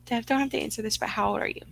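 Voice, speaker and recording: A woman's voice: on the high side, gravelly, poor audio. A woman hosts a live stream and talks straight to her viewers. She rambles in a friendly, upbeat way, full of "like" and "um", and answers comments as she goes. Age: 20s. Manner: rambling, filler-heavy and upbeat. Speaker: livestream host.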